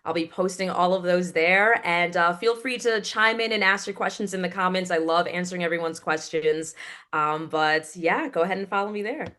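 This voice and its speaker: A woman voiceover actress speaking as an interview guest, with a powerful voice and fast, crisply enunciated speech over a video call. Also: fairly low pitch, sharp tone. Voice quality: powerful, clear voice. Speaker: voiceover actress and award show announcer.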